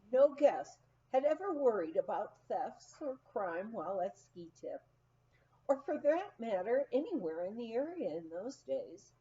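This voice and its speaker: A woman reading a humorous memoir aloud as scripted narration, in an expressive, storytelling manner. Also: on the high side, dull audio. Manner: expressive, unhurried storytelling with acted quotes. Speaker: audiobook reader.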